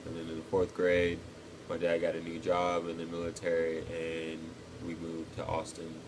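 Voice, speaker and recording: Deep flat-voiced young man. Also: background noise. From Texas. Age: 20s. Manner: thoughtful, pauses with ums. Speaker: interviewee, cadet. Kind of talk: casual interview answers.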